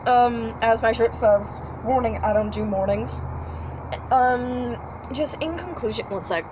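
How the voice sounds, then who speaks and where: A woman's voice: fairly high pitch, a little slow, background noise. A teenage girl chatting casually about school, rambling with lots of fillers and apologies. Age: teens. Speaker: student co-host. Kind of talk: casual chat.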